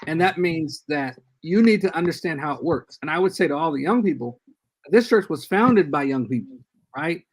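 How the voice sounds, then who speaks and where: An older man, a guest church leader, giving earnest and measured advice in a casual podcast discussion over a video call. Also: on the high side, expressive, slightly rough.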